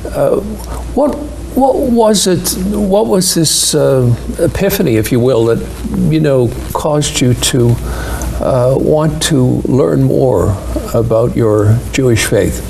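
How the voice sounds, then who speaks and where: A man hosts an interview in a slow, deliberate way, taking his time between words. His voice sits a little high and carries a gravelly texture. A faint hum hangs behind him. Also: a little mellow, rough recording. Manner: deliberate with many hesitations and fillers. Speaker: interview host. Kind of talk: slow, measured interview questions.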